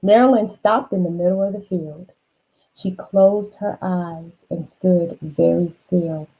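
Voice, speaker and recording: A woman reading a children's storybook aloud, unhurried, with dramatic emphasis and chatty asides to the listener. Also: mid-range voice, gravelly, slightly rough, phone-quality sound.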